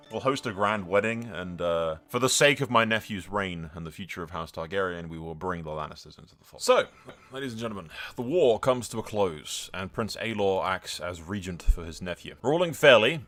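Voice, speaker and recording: Male gaming host, lowish voice, informal think-aloud narration of a roleplay playthrough, music underneath. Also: fairly bright tone. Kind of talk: casual narrated roleplay game commentary. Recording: music behind the voice.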